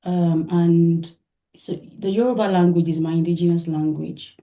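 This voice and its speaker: A woman from Nigeria speaking as an interview guest, soft-spoken and thoughtful, laughing often. Her voice is low and mellow. It rings in an echoey room. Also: steady tone, moderate pace. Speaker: musician guest. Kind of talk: interview answers.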